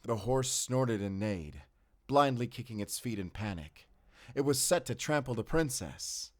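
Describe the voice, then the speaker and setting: An actor playing a man who tells a fairy tale in a measured, theatrical storytelling manner for an audio drama. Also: mid-range voice, animated, dry recording, clean studio sound, natural voice. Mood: dramatic. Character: man telling a fairy tale, fond and foreboding.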